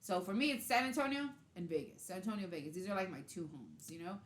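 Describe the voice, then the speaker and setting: A woman's voice: on the low side, expressive, fast. A woman hosting a casual interview chat, talking loosely and filling her sentences with "like". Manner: chatty, peppers talk with "like". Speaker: podcast host. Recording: roomy echo.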